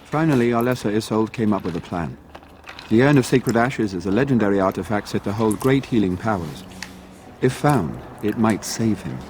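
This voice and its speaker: A man's voice: on the low side, expressive, normal speed, darkish tone, a little hiss or hum, lightly acted. A male actor playing a solemn, courteous knight who defends a village, speaking formally in a fantasy game. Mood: grave. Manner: formal, archaic phrasing. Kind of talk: fantasy game dialogue acting.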